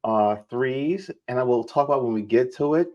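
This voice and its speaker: A man's voice: mid-range voice, expressive, moderate pace, darkish tone. A man hosting a recap podcast in an informal, conversational way, on a slightly dull recording. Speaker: podcast host. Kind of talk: casual recap show chat. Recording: slightly dull, lo-fi microphone sound.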